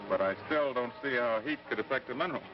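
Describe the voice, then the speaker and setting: Man playing earnest scientist guy in a 50s sci fi radio show, fast talker, dull sound, music under. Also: medium pitch, far from the mic, natural voice. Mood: puzzled. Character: Sam, earnest puzzled scientist and friend. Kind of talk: 1950s radio drama acting. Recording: muffled old radio broadcast with music.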